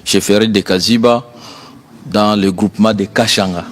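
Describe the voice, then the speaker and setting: A man's voice: on the low side, fairly even intonation, moderate pace, in a large hall. A young man from South Kivu, earnestly explaining his student association as a guest. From South Kivu, DR Congo. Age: young adult. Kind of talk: guest interview.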